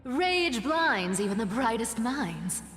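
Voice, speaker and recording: An actress voicing a sly, playful young demigoddess of trickery in a game, with a taunting, expressive delivery. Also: female voice, on the high side, slow pace, full character voice. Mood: scheming, confident. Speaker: voice actor in a game. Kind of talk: dramatic game dialogue. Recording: reverberant hall with music underneath.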